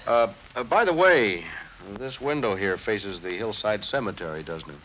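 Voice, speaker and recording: A man's voice: fairly low pitch, animated, moderate pace, natural voice. A man acting a folksy, world-weary police lieutenant in a 1940s radio mystery, casual and hesitant with his words. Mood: casually probing. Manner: hesitant, casual questioning with drawled slang. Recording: muffled, noisy 1940s radio recording.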